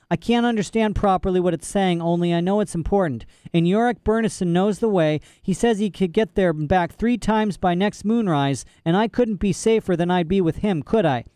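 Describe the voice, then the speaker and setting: A spirited, insistent young girl pleads to be allowed her way, as a man reading the story puts her on. The voice is raised high and eager. It sounds smooth, mellow and dry, close to the mic. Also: dry recording, close to an everyday voice.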